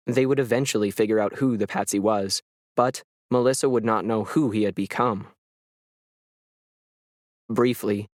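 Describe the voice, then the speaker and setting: A man's voice: mid-range voice, no echo, studio quality. A man reading a scripted science fiction story as its narrator.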